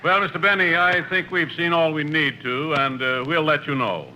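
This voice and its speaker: A man's voice: on the high side, normal speed, lightly acted. Dry, polite male house buyer, played by an actor, hesitant and unimpressed, heavy vintage radio noise. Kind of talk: 1950s radio comedy acting. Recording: very noisy old radio broadcast.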